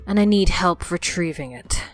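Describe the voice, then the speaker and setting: A woman's voice: fairly low pitch, animated, moderate pace, soft background music, close to an everyday voice. A woman playing a proud, self-assured adventurer who speaks persuasively in a fantasy audio drama. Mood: guarded.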